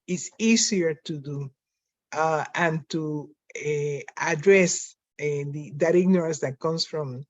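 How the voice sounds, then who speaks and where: A woman's voice: sits low, takes it slowly, no echo. Older woman with a Spanish accent. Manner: reflective, with frequent restarts and fillers. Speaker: panel speaker. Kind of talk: conversational panel talk. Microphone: video call. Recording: dry, dull lo-fi call audio.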